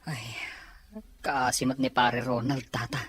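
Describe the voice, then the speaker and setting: Husky, supportive young Filipino man, acted by a man. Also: medium pitch, level tone, measured pace, fairly bright tone. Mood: concerned, consoling. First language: Ilocano. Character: sympathetic, supportive younger man comforting his elder. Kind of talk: Ilocano audio drama acting.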